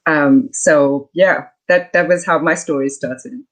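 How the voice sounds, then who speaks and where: A woman's voice: low-pitched, steady tone, slow pace, low-quality recording. South African woman, gracious interview guest. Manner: warm, gracious, many fillers. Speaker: guest, soprano. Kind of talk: casual conversational interview.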